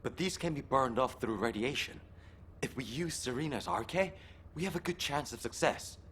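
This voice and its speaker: A man's voice: medium pitch, animated, normal speed, a bit bright, natural voice. A man playing an earnest, hopeful scientist in a video game, explaining technical matters persuasively. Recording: game audio with faint noise behind.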